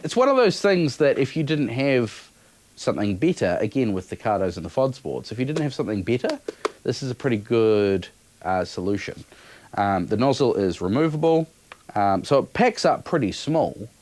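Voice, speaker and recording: A man's voice: medium pitch, sing-song, moderate pace, a little mellow, a little hiss or hum. A man giving a casual, unscripted product review in an offhand, matter-of-fact way.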